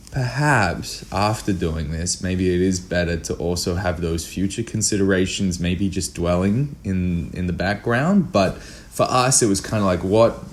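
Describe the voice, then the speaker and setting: A young man chatting casually as a filmmaker guest, often acting out his own thoughts as quotes. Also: deep voice. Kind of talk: casual interview chat. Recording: noticeable background noise, room ambience.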